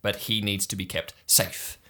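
A man's voice: lowish voice, little pitch movement, measured pace, sharp tone. A man voicing a bossy, blunt teenage girl who gives decisive orders at a camp. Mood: commanding, blunt. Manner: decisive, brusque.

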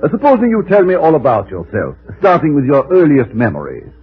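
A man's voice: on the high side, huge pitch swings, fairly quick, slightly rough, exaggerated voice. A man playing a formal, pondering psychiatrist in an old radio comedy, hesitant and inquisitive. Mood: businesslike. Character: deliberate, inquisitive male psychiatrist. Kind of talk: 1950s radio comedy acting. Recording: dull, faintly noisy old radio recording.

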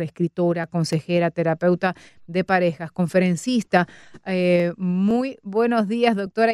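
A woman radio host with a lowish voice talks in a chatty, reflective way. Her voice is dry and close in the studio. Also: darkish tone, clear, resonant tone, no room sound. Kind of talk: radio interview.

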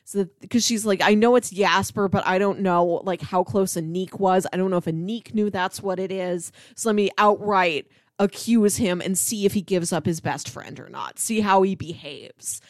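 Female podcast co-host, medium pitch, casual scene-by-scene commentary with quoted character asides, very dry sound. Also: moderate pace, few pauses, dry recording. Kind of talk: casual TV review discussion.

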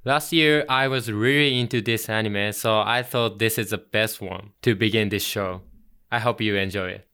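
A young man in his twenties with a Japanese accent hosts a music radio show, his voice bright and crisp. He talks in an earnest, unhurried way with fillers and restarts, like a non-native speaker finding his words. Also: on the low side, steady tone. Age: 20s. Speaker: radio host. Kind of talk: casual radio show introduction.

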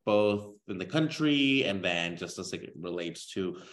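A man in his twenties from Kansas, a guest on a casual podcast interview, speaking earnestly with halting restarts. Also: sits low, expressive. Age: 20s.

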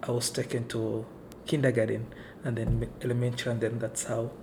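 A man's voice: mid-range voice, flat delivery, brisk, background noise. A man from Kenya whose first language is Somali, talking unhurriedly in a casual interview and leaning on "you know" and "right". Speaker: interview guest. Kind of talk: casual conversation.

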